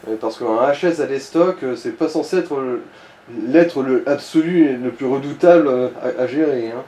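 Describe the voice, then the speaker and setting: A man with a relaxed, dark-toned voice of medium pitch. He explains game tactics casually and slangily. The room rings noticeably around him. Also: moderate pace. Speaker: gaming co-commentator guiding the player. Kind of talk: casual let's play commentary. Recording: roomy echo, background noise.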